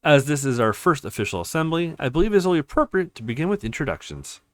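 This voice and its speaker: A man voicing a formal, composed interim leader of a crime council in a visual novel, speaking in a measured way. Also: on the high side, big pitch swings, no echo. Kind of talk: reading visual novel dialogue.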